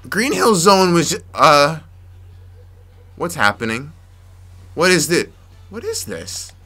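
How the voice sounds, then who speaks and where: A young man commentating his gameplay, frustrated and muttering to himself with sudden yelps, slightly raspy from yelling. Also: high-pitched. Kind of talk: let's play commentary. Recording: faint game music behind.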